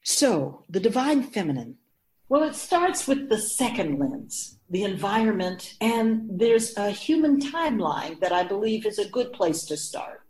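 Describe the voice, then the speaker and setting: Woman acting immortal spirit guide, assured, didactic, formal, expressive melody, radio drama. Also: mid-range voice, measured pace, rough recording. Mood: composed, assured. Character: feminine-voiced immortal spirit keeper of souls, assured and instructive.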